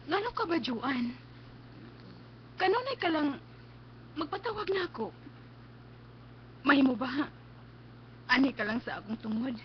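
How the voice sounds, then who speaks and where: A woman's voice: high voice, very animated, measured pace, long pauses, a little hiss or hum. A woman with a Cebuano accent acting an anguished, pleading blinded patient in a radio drama, drawing out her words emotionally. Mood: hurt, needy. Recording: dull lo-fi radio stream, music behind.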